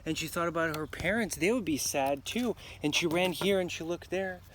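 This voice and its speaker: A man telling a folktale live to children in an expressive, dramatic manner, pausing to ask his listeners questions. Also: fairly high pitch. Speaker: storyteller. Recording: noisy live recording, clear background noise.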